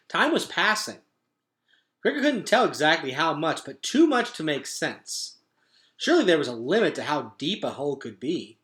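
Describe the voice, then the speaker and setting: A man reading a children's book aloud as a teacher would, with dramatic, expressive narration. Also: a little high.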